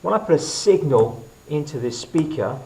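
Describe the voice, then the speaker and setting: A man presenting a hands-on science demonstration as a teacher, explaining to camera in short, deliberate phrases. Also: fairly high pitch, lively intonation, dark tone. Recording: clearly noisy recording with clicks.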